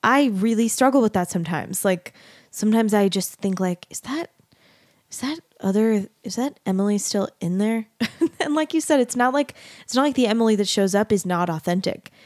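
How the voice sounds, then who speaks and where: A woman in her thirties hosting an interview, playful and quick to laugh. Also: medium pitch, lively intonation, a little mellow, dry recording, studio quality. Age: 30s. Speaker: podcast host. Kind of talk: casual interview chat.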